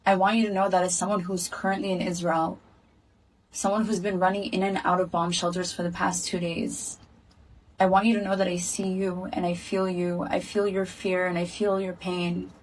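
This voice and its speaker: Woman, earnest statement to camera, steady and measured, slightly off-mic. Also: fairly low pitch, distant mic, rough recording. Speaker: activist speaking in a video clip. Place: in Israel.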